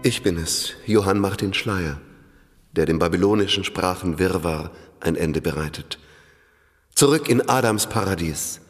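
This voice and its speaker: An actor playing a zealous, earnest 19th-century German village priest in a declamatory radio play style. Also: male voice, low-pitched, a little slow. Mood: fervent, solemn. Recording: long hall reverb, music behind.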